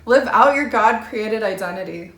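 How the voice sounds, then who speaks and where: A woman's voice: medium pitch, animated, normal speed, fairly bright tone. A woman reading scripture aloud for a church, with emphatic, direct delivery. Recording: roomy, echoing sound.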